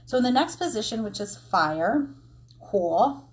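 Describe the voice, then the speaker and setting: A woman's voice: on the high side, sing-song, unhurried. A woman giving a casual, encouraging oracle card reading, explaining things conversationally with informal asides. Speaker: tarot and oracle card reader.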